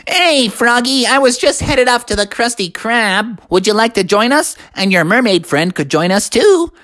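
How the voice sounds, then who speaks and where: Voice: medium pitch, expressive, moderate pace, fairly bright tone, voice pushed up, lightly acted. A storyteller voicing a cheerful, giggly male cartoon sea sponge in a chirpy, friendly way. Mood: cheerful inviting.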